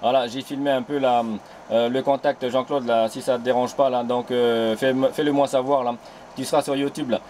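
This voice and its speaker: A man's voice: mid-range voice, fairly even intonation, moderate pace, a little mellow, a little hiss or hum. A man on an island chatting over amateur radio, friendly, tagging "là" after nearly every phrase. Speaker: radio amateur filming the contact. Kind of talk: casual radio contact chat. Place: home radio station on an island. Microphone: old handheld mic on a transceiver.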